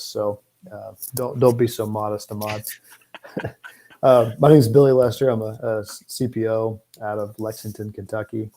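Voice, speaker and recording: A man with a mellow, relaxed voice and a flat, even pitch. He chats informally as an interview guest, halting now and then to repeat a word or pause. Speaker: guest, prosthetist. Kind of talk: casual interview chat.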